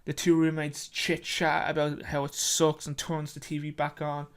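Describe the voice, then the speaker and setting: A person with an Irish accent narrating a short story, with a halting, self-correcting delivery. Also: mid-range voice, completely flat delivery, smooth.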